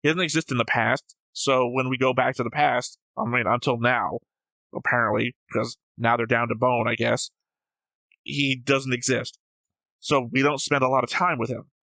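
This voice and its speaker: A man's voice: medium pitch, little pitch movement, normal speed, creaky, pushed and bright, dry recording, poor audio. A man talking casually as a reaction show host, opinionated and analytical, with a blunt, flippant way of speaking.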